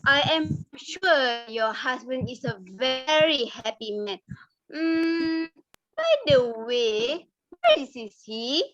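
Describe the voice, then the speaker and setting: A woman playing a nosy, sharp-tongued village gossip with drawn-out, exaggerated hums in a dramatised story reading. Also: high voice, big pitch swings, no echo, highs cut off, soft background music, full character voice. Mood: sweetly prying.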